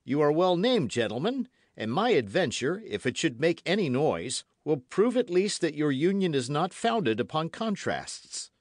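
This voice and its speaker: A man plays a young Gascon swordsman from a storybook, pitched up and very animated. He is earnest and polite, speaking with simple, eager boldness. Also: normal speed, hardly any pauses, dry recording, studio quality. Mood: courteous.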